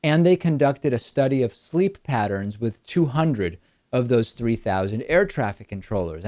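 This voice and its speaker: A man hosting a political talk show, explaining a news story in a brisk way with rhetorical questions. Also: medium pitch, darkish tone, dry recording, clean studio sound. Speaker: host.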